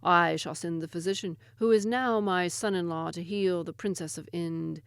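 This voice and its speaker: A woman's voice: fairly low pitch, moderate pace, vocal fry, clear, resonant tone, no echo, studio quality. A woman voicing a regal king speaking in grand, formal phrases. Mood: grave.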